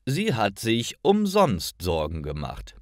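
A man's voice: lowish voice, wildly swooping pitch, slow pace, no room sound, studio quality. A man narrating scripted stories for language learners, reading carefully and enunciating every word.

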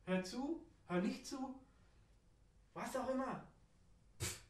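Female dada monologuist, southern German or Austrian accent, fairly high pitch, rambling and repetitive, roomy distant sound. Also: slow pace, a little hiss or hum. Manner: repetitive, playful, rambling wordplay. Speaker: radio play performer. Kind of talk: improvised absurdist spoken-word monologue. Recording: roomy echo, distant microphone.

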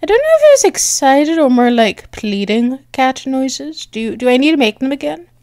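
Shy girly woman doing asmr roleplay, high voice that swoops around, lots of flustered pauses, sweet and soft. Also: sing-song, no room sound, studio quality. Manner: bashful, halting, giggly, softly affectionate. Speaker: audio roleplay performer.

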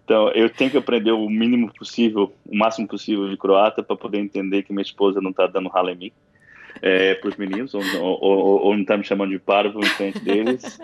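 A man's voice: lowish voice, flat delivery, fast, lo-fi sound. A man with a Brazilian Portuguese accent, an interview guest talking casually, rambling with restarts and fillers. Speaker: interview guest, university alumnus.

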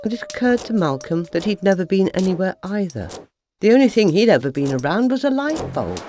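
A woman's voice: mid-range voice, big pitch swings, fairly quick, dark tone. A woman narrating a children's bedtime story in a scripted storytelling style. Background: music.

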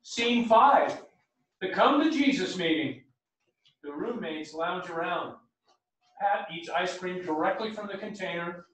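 A woman's voice: on the low side, animated, a little slow, pauses often. A woman reading out stage directions as scripted narration for a staged play reading. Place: theatre stage, indoors. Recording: echoey theatre stage from far off.

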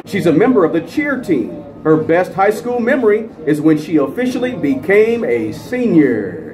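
A middle-aged American man doing live high school stadium PA announcing on a handheld microphone, with a ceremonial, drawn-out delivery of names. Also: big pitch swings, music in the background. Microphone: handheld dynamic microphone. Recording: crowd noise behind outdoor announcing. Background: crowd.

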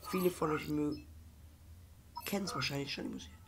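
A man's voice: on the high side, normal speed, background noise. Chatty young man with a cold. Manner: rambling, chatty, many fillers and restarts. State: has a cold. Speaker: gaming YouTuber. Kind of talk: casual let's-play commentary.

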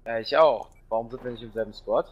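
A young man co-playing a game, chatting excitably and making noises while he plays. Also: mid-range voice, fast, breathy edge, muffled recording. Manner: excitable, hums and makes gun noises. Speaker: co-player. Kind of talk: casual gaming chat.

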